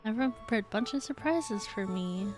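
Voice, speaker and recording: A woman's voice: on the high side, animated, a little fast, music in the background. A woman streaming a video game, chatting casually and reading the game text aloud. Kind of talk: casual gameplay commentary.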